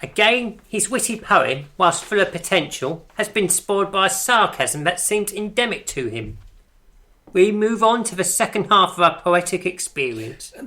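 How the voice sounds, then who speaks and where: Pompous poetry-circle leader, arch and theatrical, lively intonation, forceful tone. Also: female voice, mid-range voice, moderate pace. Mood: brisk, arch. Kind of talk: radio drama acting.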